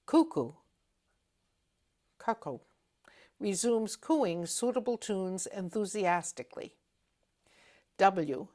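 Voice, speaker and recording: A woman in her seventies with a New England accent, French her first language, answering interview questions in an unhurried, thoughtful way with pauses. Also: mid-range voice, mellow. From New England, USA. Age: 70s. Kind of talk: reading aloud then answering interview questions.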